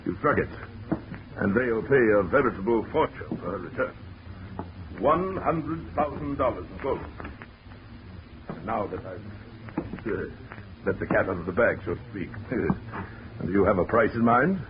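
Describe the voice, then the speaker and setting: A florid, pompous big-game hunter villain, smug and quietly menacing, his voice sitting low. It comes through a muffled 1940s radio recording thick with hiss. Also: expressive, unhurried, long pauses. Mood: scheming.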